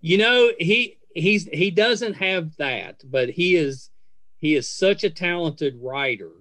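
A middle-aged man hosts a casual interview podcast with an effusive, praising manner. His voice sits a little high for a man, and the sound is dry with hardly any room in it. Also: slow, a bit bright, dry recording. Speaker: podcast host.

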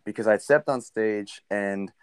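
A young man with a very low, smooth baritone voice tells a story in an easygoing interview. He rambles a little, leaning on ums and you-knows. The sound is close and dry. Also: measured pace, no room sound. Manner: relaxed, rambling with many fillers. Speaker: country singer, guest.

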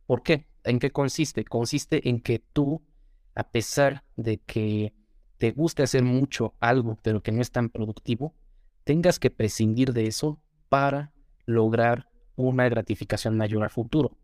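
A man's voice: medium pitch, unhurried, a little mellow, no room sound, studio quality. A young Mexican man with a Mexican accent, a guest talking earnestly and motivationally in a casual interview, often repeating words as he gathers his thoughts. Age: young adult.

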